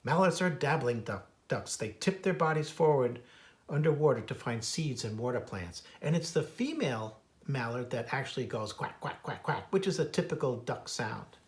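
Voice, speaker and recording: A man's voice: medium pitch, lively intonation, moderate pace. An American man reading a children's book aloud as its author and naturalist, adding informal asides about wildlife. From United States. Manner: imitates bird calls in a singsong.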